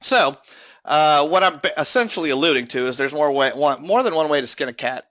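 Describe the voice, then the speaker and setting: A man's voice: a little high, expressive, fairly quick, a bit bright, dry recording, dull audio. Man presenting with a strained voice. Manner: explanatory, many filler uh's. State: voice strained from bronchitis. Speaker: tax lien investment presenter. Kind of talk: webinar presentation.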